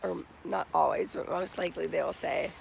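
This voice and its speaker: A young American man talks casually in a high-pitched voice for a man, with very heavy vocal fry and a raspy, hoarse edge. He sounds like a vlogger handing out tips, recorded outdoors with faint background noise. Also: fairly even intonation, moderate pace. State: morning hoarseness. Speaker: vlogger giving job interview tips. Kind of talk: casual advice vlog.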